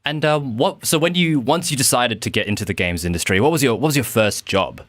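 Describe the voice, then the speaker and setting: A man's voice: medium pitch, lively intonation, brisk, fairly bright tone, dry recording, clean studio sound. A man casually hosting a podcast and putting questions to a guest. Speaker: podcast host.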